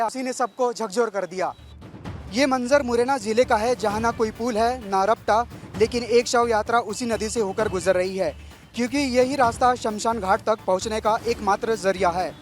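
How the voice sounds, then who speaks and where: A man narrating a scripted news report as a reporter. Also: very high voice.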